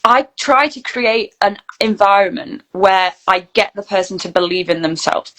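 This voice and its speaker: A woman's voice: mid-range voice, normal speed, a bit bright, poor audio. A woman with a mid-range voice speaks as a guest in a casual interview. Her delivery is chatty and informal, with a candid, conversational way of explaining things. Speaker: interview guest, actor and voice reel studio founder.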